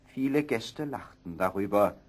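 A man narrating a scripted fairy tale as an expressive storyteller. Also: lowish voice, a little slow.

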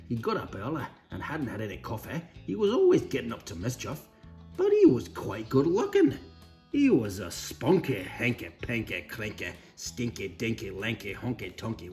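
A man reading a children's storybook aloud in a playful, expressive way with cheeky asides. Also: medium pitch. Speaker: storybook reader. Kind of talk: animated read-aloud for children.

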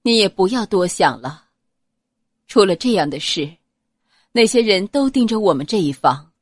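A woman voicing a gentle, dignified noblewoman and mother, reasoning softly in an audiobook. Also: mid-range voice, animated, normal speed, breathy edge, no room sound, studio quality. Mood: grave.

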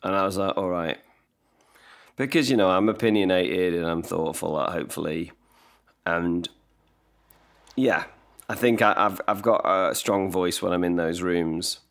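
Man, 40s, very low and gravelly voice; loose, self-deprecating podcast guest on a home desk mic. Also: measured pace, long pauses, fairly bright tone. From England. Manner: rambling, self-deprecating, many restarts. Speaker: guest songwriter. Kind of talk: casual podcast conversation. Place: living room at home. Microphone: desk mic on stand, video call.

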